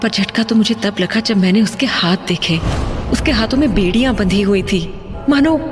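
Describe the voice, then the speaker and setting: A woman playing an uneasy, hesitant young woman haunted by a nightmare in an animated horror story. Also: medium pitch, moderate pace, close to an everyday voice. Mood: frightened. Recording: long hall reverb, music behind.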